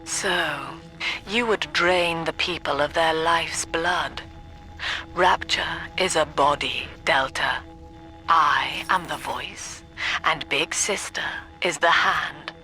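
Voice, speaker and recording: An actress playing a cold, lofty middle-aged British cult leader and psychiatrist in a video game, with measured, formal, clinical preaching. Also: female voice, sits low, bright, forceful tone, soft background music, heavily acted, rough recording. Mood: icy, menacing. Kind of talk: scripted video game villain monologue.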